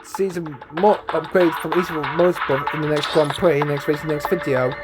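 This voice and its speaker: A man giving casual gameplay commentary as a YouTuber, rambling and stumbling over his words, his voice hoarse and going. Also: fairly high pitch, fairly even intonation, moderate pace. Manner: rambling, stumbling, tags lines with "eh?" and "then, guys". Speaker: gaming YouTuber. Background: game music.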